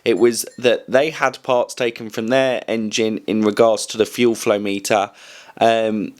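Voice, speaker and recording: A man hosting a weekly motorsport news show, croaky from a cold, rambling casually with lots of ums and restarts. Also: lowish voice, level tone, a little slow. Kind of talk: casual news commentary to camera.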